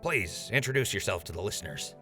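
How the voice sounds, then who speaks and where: An actor playing a chatty, upbeat radio host in an audio drama. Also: male voice, low voice, expressive, fairly quick, pushed and bright, faint music behind. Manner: chatty, upbeat broadcaster patter. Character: eager male wasteland radio host. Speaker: radio show host in story.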